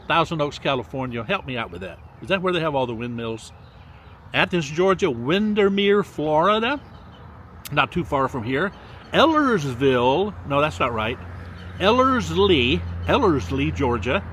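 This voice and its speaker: A man's voice: on the high side, big pitch swings, a bit bright, a little hiss or hum. Folksy older man reading place names. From Mississippi. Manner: drawn-out, sing-song reading of place names with folksy asides. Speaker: vlogger reading viewer locations. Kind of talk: casual viewer shout-outs. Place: outdoors by a lakefront.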